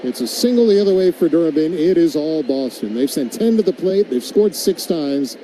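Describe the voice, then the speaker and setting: Mellow, dark-voiced male baseball announcer. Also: fairly high pitch, expressive, normal speed, slight background noise, rough recording. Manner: wry, tongue-in-cheek. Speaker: baseball radio play-by-play announcer. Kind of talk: live play-by-play mixed with conversational commentary.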